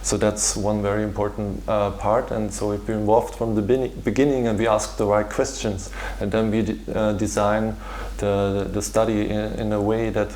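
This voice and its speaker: A man with an Austrian accent and German as his first language, explaining his work in an interview in a halting, searching way. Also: fairly low pitch, little pitch movement, darkish tone, a little hiss or hum. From Vienna, Austria. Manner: hesitant, halting delivery with repeated words. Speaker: patient organization founder, interviewee. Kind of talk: interview answer, explanatory talk.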